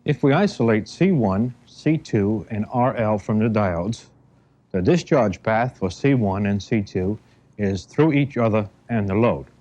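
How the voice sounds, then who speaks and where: An American man narrating an instructional training film, explaining step by step in measured, deliberate phrases. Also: on the low side, expressive, mellow. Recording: dull, band-limited 1970 film soundtrack.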